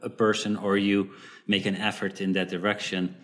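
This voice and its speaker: Low-voiced Dutch man, halting speech. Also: level tone, fairly bright tone. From Netherlands. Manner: hesitant, many uhs and restarts. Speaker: podcast guest, dream expert. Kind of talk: casual interview talk.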